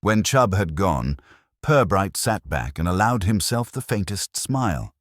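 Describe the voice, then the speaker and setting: A man's voice: low voice, big pitch swings, a little slow, dry recording, clean studio sound. A man narrating a scripted mystery story as a storyteller.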